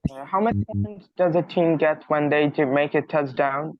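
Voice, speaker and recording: A man's voice: a little high, moderate pace, no room sound. A young man student from Bangladesh asking polite, direct questions on a video call. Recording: tinny phone-like call audio.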